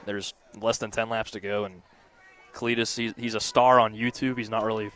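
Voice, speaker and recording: A male color commentator talks through a softball game in a conversational way. His voice is on the low side, and he adds analysis between plays. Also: little pitch movement, moderate pace, clear, resonant tone. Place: outdoors at a ballfield, on a platform by tables.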